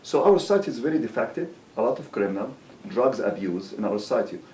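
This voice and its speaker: A man hosting an interview, often interjecting and speaking in halting phrases with fillers. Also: medium pitch, lively intonation, dark tone. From Egypt. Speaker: talk show host. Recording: roomy distant mic with faint hum.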